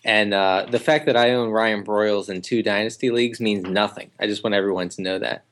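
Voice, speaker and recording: A man co-hosting a casual sports podcast, talking in a halting way full of ums and uhs, with dry jokes. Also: on the low side, steady tone, fairly bright tone. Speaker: podcast co-host.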